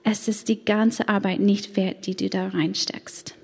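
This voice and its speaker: Slow-speaking American woman in an echoing hall. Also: mid-range voice, level tone, creaky, slightly rough. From United States. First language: English. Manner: slow, earnest, careful delivery with occasional grammar slips. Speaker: church women's ministry leader. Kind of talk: personal testimony talk. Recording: long hall reverb.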